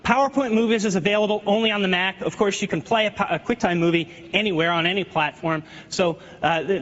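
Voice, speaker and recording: High-voiced guy demoing software onstage, keeps restarting words, dull echoey hall tape with faint crowd. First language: not French. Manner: eager, repeats words while demoing. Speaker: software presenter at a keynote. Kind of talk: live product demo presentation. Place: large conference hall.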